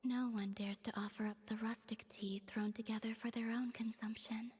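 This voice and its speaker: Woman voicing a soft, high, breathy moon goddess. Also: little pitch movement, noisy background, natural voice. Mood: wistful, hushed. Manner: slow, hesitant, gently formal. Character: gentle, wistful young moon goddess, serene and formal. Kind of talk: anime game voice acting.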